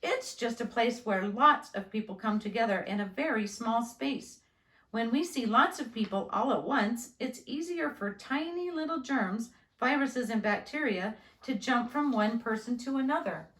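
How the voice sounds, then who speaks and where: A woman voicing a calm, reassuring father who patiently explains things to his child in a storybook. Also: fairly high pitch, normal speed. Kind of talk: children's storybook read-aloud. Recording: roomy, echoing indoor sound.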